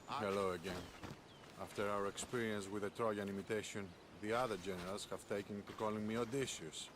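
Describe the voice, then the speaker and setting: A courteous, humbled military commander voiced by a man in a game. The voice is fairly deep and good-humoured, set in a noticeably noisy outdoor ambience. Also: steady tone, brisk, natural voice. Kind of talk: video game cutscene acting.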